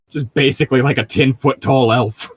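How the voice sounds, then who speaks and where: A man's voice: mid-range voice, animated, normal speed, breathy edge. A man co-hosting a read-along show, chatting casually between read passages with a mocking, sardonic manner.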